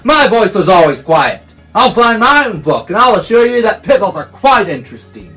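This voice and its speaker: A man voicing a grumpy, pompous male bear who talks loudly and huffily in a storybook reading. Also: a little high, expressive, measured pace, faint music behind. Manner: loud, huffy, commanding.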